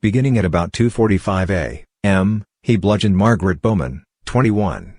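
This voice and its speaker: A man reading scripted true-crime documentary narration in a measured, formal manner. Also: low voice, lively intonation, a little mellow, dry recording, studio quality.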